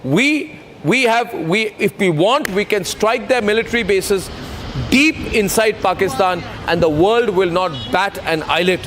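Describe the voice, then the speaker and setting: A man's voice: high-pitched, a little slow, over music. An Indian man anchoring live breaking news with an Indian English accent, strident and declamatory, repeating phrases for emphasis.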